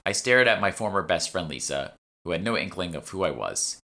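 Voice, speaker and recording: A man narrates a fantasy audiobook in a very low, gravelly voice. His delivery is animated, following the scripted prose with expressive swings. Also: brisk. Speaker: author reading own audiobook.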